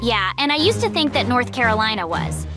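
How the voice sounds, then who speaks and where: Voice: mid-range voice, sing-song, brisk, music in the background, lightly acted. An actor voicing a frank, cheerful girl, one of the kid heroes in a cartoon comic dub. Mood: matter-of-fact.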